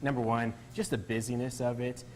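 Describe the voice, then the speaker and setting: Earnest doctor explaining on TV. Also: male voice, medium pitch, flat delivery, a little fast, darkish tone. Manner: earnest, explanatory, many fillers. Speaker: physician guest. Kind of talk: TV interview answers. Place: barbershop on location.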